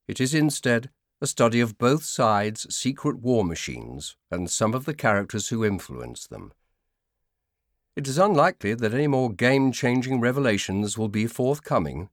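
A man narrating a scripted nonfiction audiobook in a measured, formal manner. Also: on the low side, dry recording, clean studio sound.

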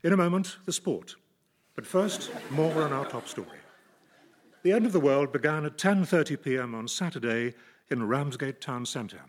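A British man playing a grave newsreader announcing the apocalypse in a mock radio bulletin, solemn, formal and deadpan. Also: a little high, moderate pace, lightly acted. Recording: noisy live theatre recording.

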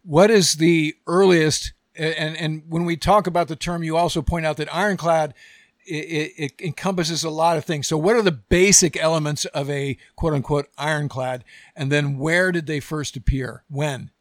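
Chatty podcast host, dry sound. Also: male voice, a little high, fairly even intonation, moderate pace, no room sound. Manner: conversational, chatty questions. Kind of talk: podcast interview.

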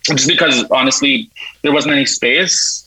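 A man in his thirties from Canada talks eagerly as an interview guest, full of "like" and quick laughs. His voice is bright and forceful, and it sounds dry and close. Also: medium pitch, slightly rough, no room sound, rough recording. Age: 30s. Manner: eager, chatty, laughs often, lots of "like". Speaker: guest, writer and producer. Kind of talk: casual interview answers.

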